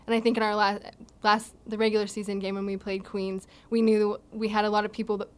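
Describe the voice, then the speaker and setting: A young woman answering postgame questions as a college volleyball player, earnest and steady, with light laughs, seated at a table mic indoors. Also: medium pitch, fast, smooth. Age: young adult. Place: indoor press backdrop, seated. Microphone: table mic in front of her.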